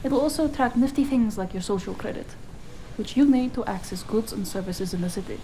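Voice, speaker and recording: Sardonic, bossy female fixer acted by a woman, mellow mid-range voice, brisk scolding wit, noir audio drama. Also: medium pitch, a little hiss or hum, close to an everyday voice, poor audio. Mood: exasperated, smug. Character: bossy, sharp-witted female cyberpunk fixer, teasing partner. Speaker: voice actor.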